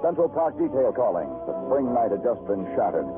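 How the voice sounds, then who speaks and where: An actor playing a world-weary police detective in an old-time radio crime drama, dramatic and hardboiled. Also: male voice, medium pitch, big pitch swings, a little fast, natural voice. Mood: somber narration. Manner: dramatic, poetic, clipped when questioning. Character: world-weary, brooding male police detective narrating his beat. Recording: tinny vintage radio broadcast, music underneath.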